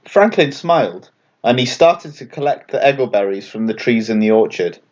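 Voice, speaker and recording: A man's voice: medium pitch, lively intonation, moderate pace, muffled recording. A man narrating a scripted children's bedtime story as a storyteller. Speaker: author and storyteller.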